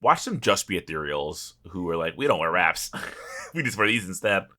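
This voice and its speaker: A man with a fairly low voice and a bright, forceful edge banters as a podcast co-host. His delivery swings widely in pitch, and he is teasing and profane. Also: lively intonation, normal speed. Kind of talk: casual comedic banter.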